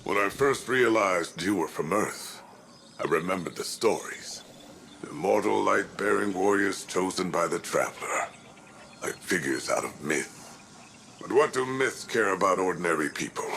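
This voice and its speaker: An actor playing a grave, principled veteran defender of a sci-fi city in a video game, solemn and earnest, with a gravelly voice. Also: male voice, sits low, lively intonation, moderate pace, a bit bright, slight background noise, full character voice. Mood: wary, solemn. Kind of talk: dramatic game cutscene monologue.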